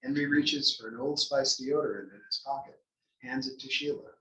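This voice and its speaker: A man's voice: mid-range voice, normal speed, pushed and bright. A man reading scripted stage directions as the narrator at a play table read. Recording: echoey room, distant mic.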